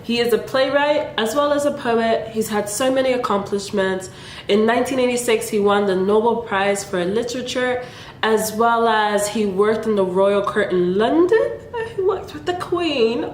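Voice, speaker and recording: A woman's voice: on the high side, slight background noise. A young woman from Nigeria chatting playfully to her viewers in a casual vlog, with laughs between remarks. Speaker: vlogger. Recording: echoey room.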